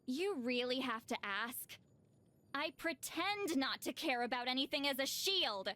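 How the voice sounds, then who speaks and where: High swoopy anime game shaman lady, kinda weary n dry, gets flustered and defensive easy. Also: expressive, unhurried, bright, forceful tone, exaggerated voice. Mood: gloomy. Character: young-looking shaman woman, reclusive, flustered, defensive, secretly worrisome. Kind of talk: video game character voice lines.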